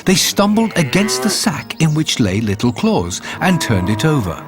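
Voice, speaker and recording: A man narrating a fairy tale in a measured, expressive storybook reading. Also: fairly high pitch. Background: faint music.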